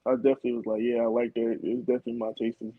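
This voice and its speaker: A young man from Florida talks about himself in a laid-back way, full of "like" and "man". His voice sits at a middle pitch. The recording is a bit dull and dry. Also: rapid-fire, no room sound. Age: young adult. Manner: relaxed, laid-back, many fillers like "like" and "man". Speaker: college football player guest. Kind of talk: casual interview answers.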